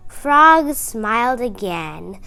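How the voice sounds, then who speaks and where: Brief: woman storyteller for young readers, high voice, lively pitch, very deliberate. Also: gravelly, low-quality recording. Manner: slow, expressive, emphatic. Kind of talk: children's book read-aloud.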